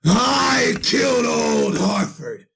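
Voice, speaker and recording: An actor playing a frantic condemned murderer telling his story in a retro radio drama, halting and repeating himself. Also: high-pitched, huge pitch swings, gravelly, a bit bright, full character voice. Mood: bitter, desperate. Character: frantic condemned murderer recounting witnessing his own execution.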